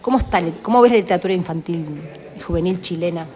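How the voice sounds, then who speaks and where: A woman with a Uruguayan accent interviewing a guest in a relaxed chat, affirming often and tagging her phrases with questions. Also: lowish voice, quick pace, a little hiss or hum. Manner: warmly affirming, ends phrases with tag questions. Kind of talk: casual conversational interview.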